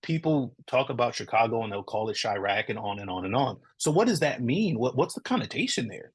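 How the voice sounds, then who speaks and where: A man's voice: medium pitch, big pitch swings, fairly quick, breathy edge. A man from Southern California, a comic creator speaking earnestly in a casual podcast interview, often checking in with "right?".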